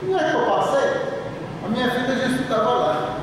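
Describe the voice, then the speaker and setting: Older Brazilian pastor in an echoey hall. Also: male voice, very high-pitched, lively intonation. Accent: Brazilian Portuguese. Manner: emphatic, repeats words, stretches phrases slowly for stress. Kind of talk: sermon. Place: church. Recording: echoey church hall from far off, with noise.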